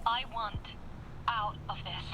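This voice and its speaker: A woman's voice: medium pitch, fairly even intonation, slow pace, noisy background, close to an everyday voice. An actress playing a frightened woman pleading for a way out in a video game drama. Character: frightened woman pleading to quit a criminal deal.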